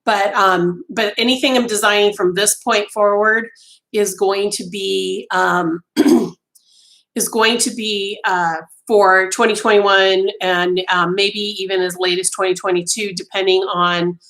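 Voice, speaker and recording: A woman's voice: mid-range voice, fairly even intonation, unhurried, a bit bright, poor audio. A middle-aged woman losing her voice, chatting casually with live viewers from a small home craft room over a webcam. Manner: chatty, many ums and restarts, talks with her hands. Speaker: livestream host.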